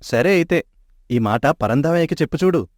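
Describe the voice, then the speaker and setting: An actor playing a schemer's mocking sidekick in a storybook tale, sarcastic and pushy. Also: male voice, on the high side, lively intonation, normal speed, darkish tone, no room sound, clean studio sound, natural voice.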